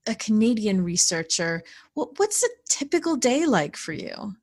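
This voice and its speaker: A woman's voice: mid-range voice, sing-song, normal speed, a little mellow. A woman hosting an interview, asking curious, upbeat questions. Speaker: podcast host, interviewer.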